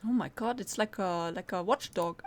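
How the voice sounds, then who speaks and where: A woman's voice: mid-range voice, normal speed, slight background noise. A woman giving casual, playful gameplay commentary in an offhand way. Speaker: gaming streamer. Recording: game music behind voice.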